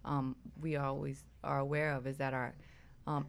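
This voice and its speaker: A woman's voice: rumbling low, level tone, normal speed, gravelly, clear, resonant tone. A woman co-hosting a conversational radio talk show. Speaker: co-host.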